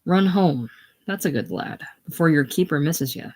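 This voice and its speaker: A reader voicing a gruff old farmer who mocks and jeers loudly. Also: mid-range voice, animated, moderate pace. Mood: grim. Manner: loud, teasing, contemptuous. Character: gruff old Irish farmer, mocking and jeering.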